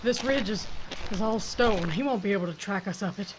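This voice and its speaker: A woman's voice: mid-range voice, brisk, close to an everyday voice. A woman playing a tough woman sheriff on the run, with a blunt frontier drawl and barked orders. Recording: slightly dull, faintly noisy recording.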